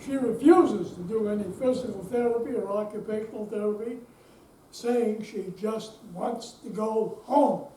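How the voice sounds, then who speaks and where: A woman's voice: mid-range voice, measured pace, mellow. A woman narrating a scripted readers theater piece as she introduces the characters. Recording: echoey room, distant mic, faint noise.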